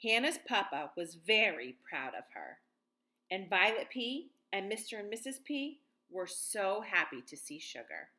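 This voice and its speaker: A woman's voice: mid-range voice, sing-song, a little slow, sharp tone. An American woman in her thirties, a teacher reading and talking to young children in simple, guiding phrases. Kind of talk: picture-book read-aloud and lesson for children.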